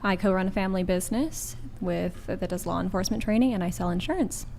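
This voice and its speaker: Twenties woman from california, calm intro, mid pitch, faint hiss. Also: quick pace, creaky. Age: 20s. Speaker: panel guest.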